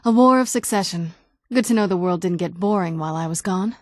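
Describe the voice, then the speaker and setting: Actress as ancient vampire noblewoman, youthful, slightly low pitch, wry, guarded, conversational, game dialogue, dry studio sound. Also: brisk, no room sound, close to an everyday voice. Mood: sardonic, defensive. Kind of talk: video game character dialogue.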